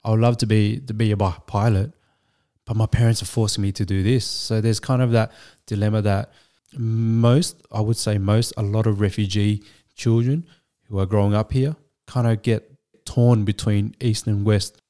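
A man speaks as an interview guest. His voice sits a little low, with a soft, mellow tone. He talks in a measured, reflective way, pausing and restarting as he thinks. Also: little pitch movement. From Australia. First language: Vietnamese. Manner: measured, thoughtful, with frequent restarts and fillers. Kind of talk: reflective storytelling.

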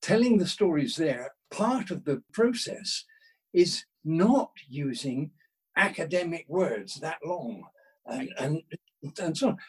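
Older male interview guest, higher-pitched with a husky grain, modest, hesitant and conversational. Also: lively intonation, slow, darkish tone. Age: 60s or older. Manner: self-deprecating, hesitant, thoughtful, rephrasing as he goes.

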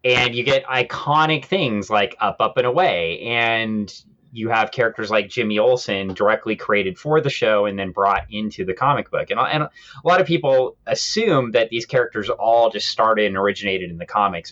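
A man's voice: lowish voice, moderate pace, a bit bright, highs cut off. A man co-hosting a podcast, explaining comics history in a casual, conversational way. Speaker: podcast co-host.

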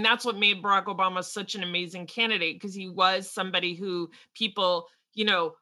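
Animated, bright-voiced woman. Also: mid-range voice. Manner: animated, quick asides, frequent laughs. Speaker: podcast co-host. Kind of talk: casual political chat.

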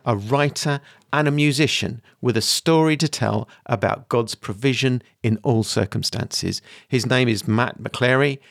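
A man hosting a faith podcast, reading a scripted intro and then asking measured, courteous questions. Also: medium pitch, vocal fry, dry recording, clean studio sound. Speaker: podcast host.

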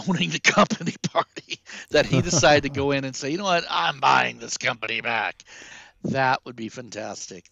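A man's voice: mid-range voice, vocal fry, a bit bright, dry recording, highs cut off. A man from the Czech Republic chatting casually on a podcast, rambling with crude jokes and many fillers.

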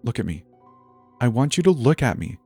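Creepy little girl, as a man puts her on, whiny, sing-song, petulant, raised pitch. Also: big pitch swings, normal speed, a little mellow, faint music behind. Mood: commanding. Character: creepy little girl puppeteer, childish tyrant.

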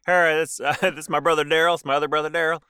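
A man's voice: fairly high pitch, little pitch movement, rapid-fire, pushed and bright, smooth, dry recording, studio quality. A man co-hosting a podcast, chatting casually and jokingly. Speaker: podcast co-host.

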